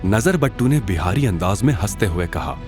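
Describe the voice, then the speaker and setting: Guy narrating a hindi audio drama, low voice, very animated, bit of music behind. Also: normal speed.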